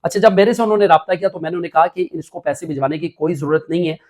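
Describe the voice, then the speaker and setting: A Pakistani man reports the news in a somewhat high voice. His delivery is emphatic commentary, spoken off the cuff with recurring filler phrases. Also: steady tone, very fast, darkish tone.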